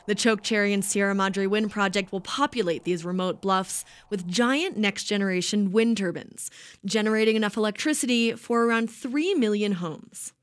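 A woman reporter giving a scripted narration for a news feature in a measured, even way. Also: mid-range voice.

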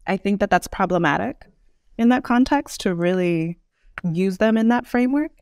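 A woman speaks as an interview guest in an articulate, conversational way. Her voice has a noticeable creak, and it is captured dry and close on a podcast microphone. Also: mid-range voice, animated, normal speed, darkish tone, no echo. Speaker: guest, urban design expert. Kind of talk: explanatory podcast interview answers.

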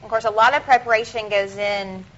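A woman's voice: on the high side, brisk. Upbeat woman hosting a daytime TV show. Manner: encouraging, steady backchanneling. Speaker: TV show host. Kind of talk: live daytime TV chat. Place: TV studio set. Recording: muffled broadcast audio with steady hiss.

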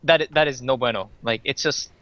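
A man's voice: medium pitch, brisk, fairly bright tone, dry recording, lo-fi sound. A young man from Canada co-casting an esports match, chatty and analytical, laughing often as he breaks down plays. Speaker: esports co-caster. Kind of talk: esports commentary.

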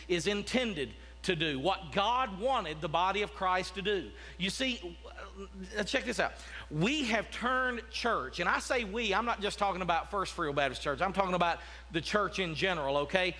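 A man's voice: high voice, pushed and bright. A man, a pastor preaching a sermon in a church sanctuary, talking conversationally with many restarts and asides. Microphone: podium mic, walking about the platform.

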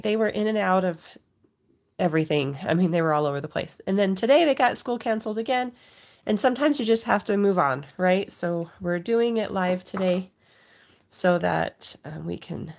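Woman craft host, stuffy cold voice, gravelly edges, chatty and apologetic, phone overhead at home desk. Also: mid-range voice, a little mellow. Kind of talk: casual live unboxing chat.

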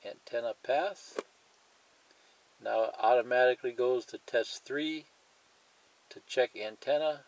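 Slow-talking man giving a step-by-step tutorial. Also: medium pitch, a little hiss or hum, low-quality recording. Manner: plain, methodical, repetitive explaining. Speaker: tutorial presenter.

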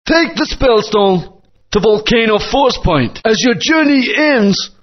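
A man's voice: very high-pitched, expressive, slow pace, full character voice. An actor playing an old, fatherly dinosaur guardian in a video game, speaking in a grand, storytelling manner. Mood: wise. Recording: dull lo-fi game audio.

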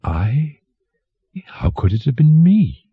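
A man's voice: mid-range voice, sing-song, slow pace, slightly rough, no echo, phone-quality sound, pitched above the performer's own voice, heavily acted. A man voicing a meek, subdued dwarf court jester in a storybook reading, speaking hesitantly. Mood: feigned innocence.

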